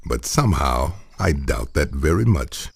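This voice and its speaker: A wise old guardian spirit, extremely deep and husky with a gravelly rumble. It speaks solemnly and kindly, like an elder in a cartoon game. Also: male voice, sing-song, full character voice. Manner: solemn, measured.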